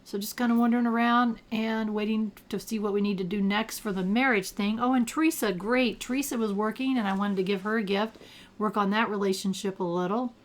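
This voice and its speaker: An older retired woman with a gravelly, allergy-roughened voice, chatting casually while she plays a game and thinks aloud. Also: mid-range voice, fairly even intonation, a little fast. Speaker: gameplay commentator. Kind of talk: casual gameplay commentary.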